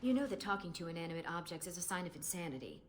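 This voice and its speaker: A woman's voice: lowish voice, big pitch swings, rapid-fire. A woman playing a sceptical, feisty young elven warrior in a fantasy video game, with a wry manner. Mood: wry scepticism. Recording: very noisy game ambience.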